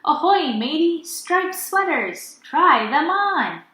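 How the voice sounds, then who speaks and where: Woman putting on a boisterous, high, loud pirate seller. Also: very animated, reverberant, heavily acted. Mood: boisterous, hawking. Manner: drawn-out hawking calls with pirate lingo. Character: hearty pirate market seller, enthusiastic and boisterous. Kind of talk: children's storybook read-aloud.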